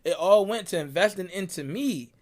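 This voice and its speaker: A young man from Barbados with a notably high voice delivers a motivational monologue. He talks in an emphatic, preachy way, tagging his points with "right?" and "bruh" as he drives them home. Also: expressive, moderate pace. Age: young adult. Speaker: motivational speaker. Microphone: audio clip recording.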